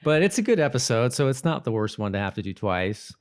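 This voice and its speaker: A man's voice: medium pitch, sing-song, fast talker. A man hosting a podcast, chatting casually and giving commentary on an episode. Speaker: podcast host.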